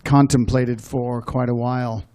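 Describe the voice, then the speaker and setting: Thoughtful man with a mellow voice. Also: mid-range voice, flat delivery, unhurried. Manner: thoughtful, careful phrasing. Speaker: audience member asking a question.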